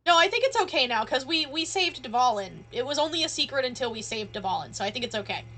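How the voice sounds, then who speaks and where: A woman's voice: high voice, very animated, fast, pushed and bright. A woman livestreaming a game casually, answering in a playful, cheeky and blunt way. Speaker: game streamer. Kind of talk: casual livestream commentary, playing along with dialogue.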